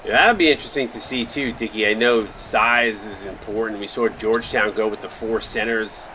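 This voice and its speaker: A man's voice: fairly low pitch, steady tone, moderate pace, sharp tone, a little hiss or hum. A man calling a simulated basketball game on a live stream, upbeat and thanking viewers by name between plays. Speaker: play-by-play broadcaster for a simulated basketball league stream.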